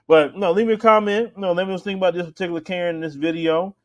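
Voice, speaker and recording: A man's voice: high-pitched, fairly even intonation, quick pace, muffled recording. Emphatic American man, casual commentary. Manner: colloquial, conversational, emphatic, repeats for emphasis. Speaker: show host and commentator.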